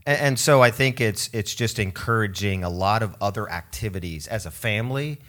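A man who directs a summer camp, speaking in an earnest, measured way in a conversational interview. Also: on the low side, lively intonation, fairly bright tone. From grew up in Switzerland and Oregon. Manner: measured, earnest, some hesitation.

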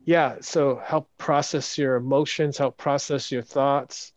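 A man hosting a podcast interview, talking in a soft, hesitant way with many restarts. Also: mid-range voice, steady tone, measured pace, no room sound, highs cut off. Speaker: podcast host. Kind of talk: casual interview chat.

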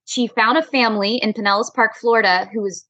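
Upbeat woman with a bright high voice. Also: fairly even intonation, brisk, no room sound, dull audio. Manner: upbeat, friendly, laughs easily. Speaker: podcast guest. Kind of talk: casual interview chat.

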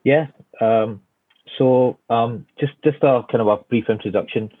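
A man's voice: mid-range voice, unhurried, no echo. A man in his forties from Scotland with a Glaswegian accent, answering interview questions casually with many fillers and restarts from his home office. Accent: Glaswegian Scottish. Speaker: guest architect. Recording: tinny phone-like line, dry.

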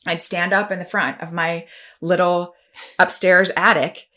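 A woman's voice: lowish voice, flat delivery, unhurried, sharp tone. A woman hosting a casual chat, talking with enthusiasm about her childhood and about destiny. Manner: enthusiastic, reflective talker. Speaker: host.

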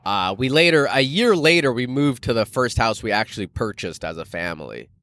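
A man's voice: medium pitch, a little fast, no room sound. A man in his thirties from Canada, hosting a casual solo podcast and telling stories in slangy, profane talk. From Canada, later Arizona. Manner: slangy, animated, profane, addressing listeners as guys. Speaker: podcast host and stand-up comedian.

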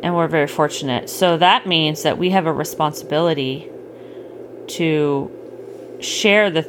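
A middle-aged woman chatting casually with a child at bedtime, gently explaining things and checking in with questions. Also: low voice, a little slow. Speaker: parent hosting a bedtime story podcast. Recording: clearly noisy home recording.

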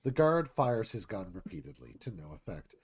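A man's voice: lowish voice, very animated, normal speed, husky. A man narrating stage directions from a screenplay in a live scripted read.

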